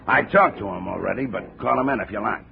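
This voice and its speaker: An actor playing a gruff, aging railroad detective who talks in heavy railroad slang, in a 1950s radio drama. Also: male voice, lowish voice, fast talker. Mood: briefing. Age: older. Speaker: railroad cop. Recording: dull old radio transcription with faint hiss.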